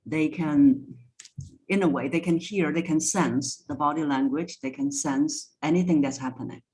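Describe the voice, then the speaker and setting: A woman's voice: sits low, moderate pace, darkish tone. A woman speaking as a voice coach in a conversational interview, giving instructive advice step by step. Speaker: voice coach, podcast guest.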